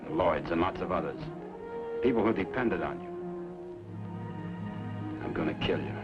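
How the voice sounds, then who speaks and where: An actor playing a grim, determined western hero in a radio drama, terse and plain-spoken. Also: male voice, deep voice, expressive, normal speed. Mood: grim, vengeful. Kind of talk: old-time radio western acting. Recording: dull old radio recording, music behind.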